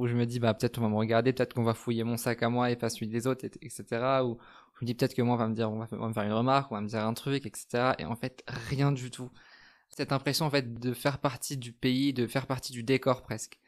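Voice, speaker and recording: A young man in his twenties from France, a podcast guest, speaking softly and hesitantly as he reflects on personal experience. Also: medium pitch, little pitch movement, fast. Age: 20s. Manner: soft-spoken, hesitant, thoughtful.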